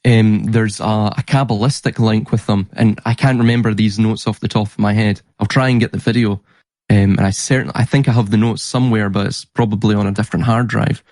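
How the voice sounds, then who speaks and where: A man's voice: lowish voice, steady tone, fairly quick, a little mellow, no echo. A man talking in a casual podcast conversation, explaining things in an earnest way. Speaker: podcast guest and researcher.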